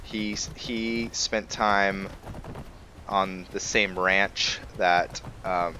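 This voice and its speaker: A man giving casual, unscripted gameplay commentary, musing and haltingly thinking aloud. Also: sits low, fairly even intonation, sharp tone, slight background noise. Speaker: gamer hosting a let's play.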